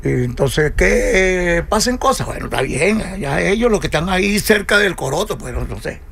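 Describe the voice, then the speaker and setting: An older man from Venezuela with a gravelly, creaky voice sits for a radio interview. He sits a touch high for a man and tells his stories at an easy pace, acting out the lines of the people he quotes. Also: lively intonation. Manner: unhurried storyteller, acting out quoted dialogue. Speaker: salsa musician guest. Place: radio studio.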